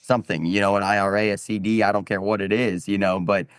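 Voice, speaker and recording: A man's voice: fairly low pitch, flat delivery, fast, clean tone, dry recording, clean studio sound. A man in a casual podcast discussion, musing hesitantly and often posing rhetorical questions.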